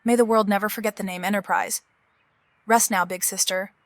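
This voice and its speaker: An actress playing a steadfast, supportive younger sister in mourning, sincere and earnest, in a scripted animated drama. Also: female voice, medium pitch, brisk, dry recording, lightly acted. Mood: solemn, resolute.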